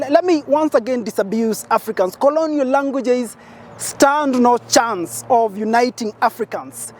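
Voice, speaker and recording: A man's voice: very high voice, slight background noise. A man from Kenya, giving an impassioned advocacy speech outdoors and building his points through emphatic, repetitive lists. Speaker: petitioner.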